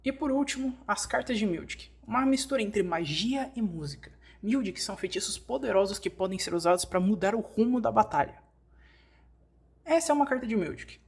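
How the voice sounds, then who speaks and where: Female tutorial narrator, Brazilian Portuguese accent, mid-range pitch. Scripted, explanatory read addressed straight to the learner. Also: expressive, fairly quick, gravelly, a little mellow. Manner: explanatory, addresses the listener directly. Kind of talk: scripted instructional narration, fan dub.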